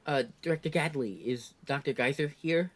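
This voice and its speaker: An actor playing a hesitant but determined young male superhero, speaking haltingly. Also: fairly high pitch, fairly bright tone. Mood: hesitant, uneasy.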